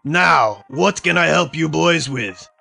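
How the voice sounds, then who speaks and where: Man acting a very gravelly, creepy butcher. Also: a little high, expressive, slow pace, sharp tone, faint music behind, full character voice. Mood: slow, pondering. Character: creepy, gruff small-town butcher, adult man. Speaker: voice actor. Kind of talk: amateur audio drama acting.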